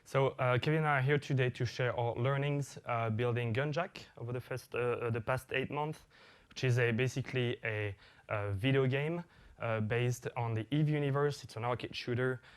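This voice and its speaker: A man's voice: mid-range voice, normal speed, clean tone. A man whose first language is French, giving a conference talk as an executive producer, with many fillers and restarts.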